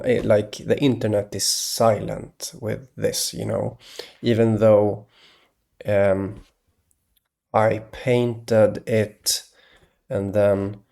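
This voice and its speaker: A man from Sweden, talking hesitantly in a rambling solo monologue, with many pauses and restarts. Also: fairly low pitch, gravelly, darkish tone. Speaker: video blogger.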